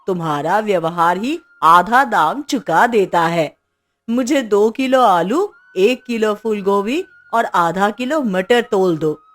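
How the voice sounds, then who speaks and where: A woman plays a trusting middle-aged neighbourhood aunt in a cartoon. She talks slowly and fondly in a medium-pitched voice. Quiet music plays below. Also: animated. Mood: affectionate. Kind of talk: cartoon story acting.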